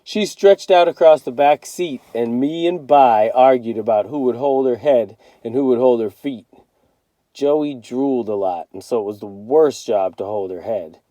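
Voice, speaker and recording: A man reading a children's novel aloud as a teacher would, in an expressive storytelling style. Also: medium pitch, moderate pace, no room sound.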